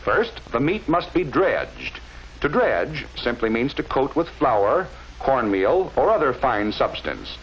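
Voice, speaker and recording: A man narrating a scripted 1940s instructional film in a measured, didactic way with careful enunciation, on a vintage film soundtrack. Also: medium pitch, big pitch swings, dull audio, slight background noise.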